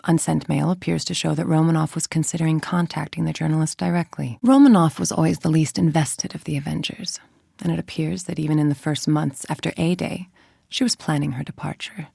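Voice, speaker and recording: A calculating, middle-aged female villain scientist from a video game. Her voice is low and gravelly, recorded very dry and close. She speaks with clipped authority and cool, controlled menace. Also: brisk, mellow, no echo, heavily acted. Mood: cold, measured.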